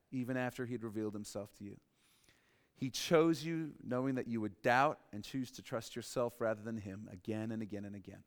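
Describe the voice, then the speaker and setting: A man preaching a sermon, emphatic, repeating key lines and dropping to a softer voice for emphasis. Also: medium pitch, clear, resonant tone. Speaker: pastor. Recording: long reverb in a large hall.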